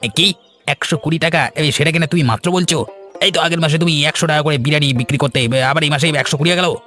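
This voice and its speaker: A man plays a complaining villager in a moral-story cartoon. He talks fast in a slightly high voice, argumentative and aggrieved, over faint music. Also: fairly even intonation, sharp tone. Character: indignant, complaining male village customer.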